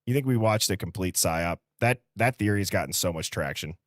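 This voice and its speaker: A man's voice: low-pitched, lively intonation, brisk, no echo, studio quality. An American man in his early thirties hosting a livestream, chatting casually, reading out viewer comments and drifting into conversational asides. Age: early 30s. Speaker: livestream host.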